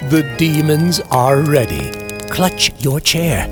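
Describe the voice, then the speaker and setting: A man narrating a horror story in old-time radio style, theatrical and menacing, sometimes dropping to a hushed whisper. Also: on the high side, sing-song, slow, over music.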